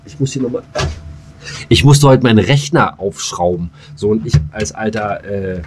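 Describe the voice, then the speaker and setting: A man's voice: fairly low pitch, animated, normal speed, darkish tone, a little hiss or hum. A man co-hosting a podcast in casual, rambling banter, mock-formal and joking. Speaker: podcast co-host.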